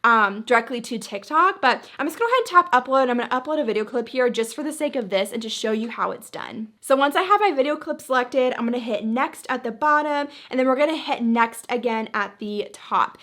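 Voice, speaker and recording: A woman's voice: a little high, lively intonation, fast talker, hardly any pauses, fairly bright tone. A woman hosting a social media tutorial, talking casually and chattily through each step.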